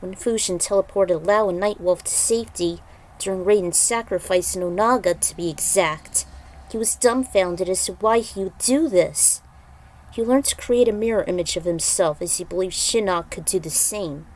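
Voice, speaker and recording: A woman narrates an action-filled fantasy tale in a dramatic storytelling style. Her voice sits at a medium pitch for a woman. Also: moderate pace, a little mellow, poor audio. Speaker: narrator reading fan fiction.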